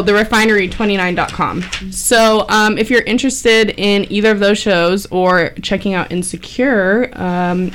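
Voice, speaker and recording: A woman hosting a casual panel talk, chatty and relaxed, with frequent filler words. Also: medium pitch, a little hiss or hum. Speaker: talk show host.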